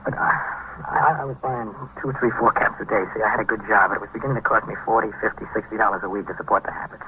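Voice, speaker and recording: An old, muffled radio recording with some noise carries a man acting a sick young male addict. He talks quickly in halting, repetitive bursts, agitated and confessional, in the style of a vintage crime drama. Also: fairly low pitch, fairly even intonation, close to an everyday voice. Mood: bitter, confessing. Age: young adult.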